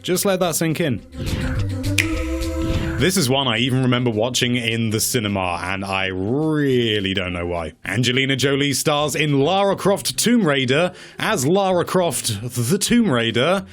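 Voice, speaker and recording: A man presenting a countdown in a casual, opinionated commentary style, wry and given to mock-dramatic emphasis and drawn-out words. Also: mid-range voice, expressive, soft background music. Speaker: presenter of a video game movie countdown.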